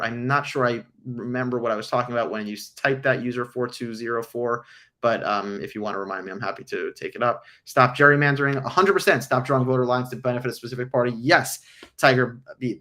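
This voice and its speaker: A man hosting a casual livestream, answering viewer questions with frequent ums, his voice hoarse from illness. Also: mid-range voice, bright, forceful tone. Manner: frequent ums, earnest explanatory.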